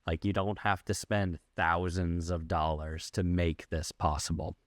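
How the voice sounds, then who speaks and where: A man's voice: sits low, little pitch movement, a little slow, no room sound, clean studio sound. A man hosting a podcast, speaking in a casual, conversational interviewing style. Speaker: podcast host.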